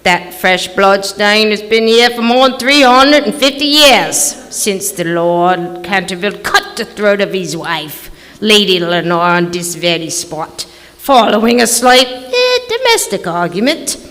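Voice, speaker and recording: A woman's voice: mid-range voice, lively intonation, measured pace, a bit bright. A woman playing an ominous housekeeper in a live old-time radio play, theatrical and foreboding. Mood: grave warning. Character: ominous English housekeeper of a haunted castle. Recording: echoey hall stage recording.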